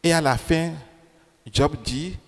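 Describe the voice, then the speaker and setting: A man preaches slowly and deliberately, his voice sitting a little higher than most men's. French is not his first language, and he keeps repeating key phrases and asking the congregation questions. His words ring out with a long reverb in a church. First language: another language than French. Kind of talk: sermon. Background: long hall reverb.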